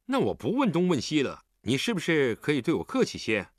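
男声演绎的沉稳护短的成年男子. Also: male voice, on the high side, huge pitch swings, a little fast, no echo, studio quality. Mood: teasing, coaxing. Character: kind, protective grown man, teasing yet firm.

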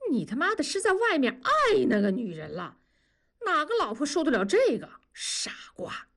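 A woman voicing a blunt, rough-talking middle-aged man, teasing and scolding his friend. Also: on the low side, big pitch swings, moderate pace.

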